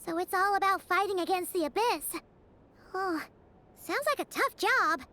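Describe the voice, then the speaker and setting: A woman playing a tiny, chatty, excitable fairy companion with a childlike manner in a cartoonish video game. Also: very high-pitched, normal speed, slight background noise, heavily acted. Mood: curious, impressed. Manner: childlike, refers to herself in third person.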